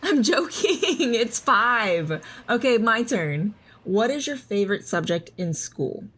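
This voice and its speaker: A woman's voice: on the high side, sing-song, takes it slowly, fairly bright tone, breathy edge, heavily acted. A woman playing a loving, teasing mother in an audio drama, both telling the story and talking with her child. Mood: playful, teasing. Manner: reassuring, teasing. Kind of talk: audio drama narration and dialogue. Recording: music under the voice. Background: music.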